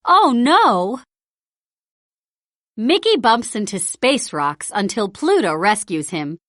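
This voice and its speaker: A woman narrating a children's storybook read-aloud in an expressive, deliberate storytelling style. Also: on the high side, dry recording, studio quality. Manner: animated, deliberate storytelling delivery.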